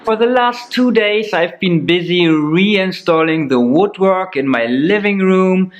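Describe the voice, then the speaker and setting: A hoarse man with a German accent, his voice sitting quite high for a man. He rambles through a casual DIY vlog and trails off with small hesitations. He is in his forties and talks into a camera's own mic inside a house under renovation. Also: animated, unhurried, lo-fi sound. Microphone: built-in camera mic.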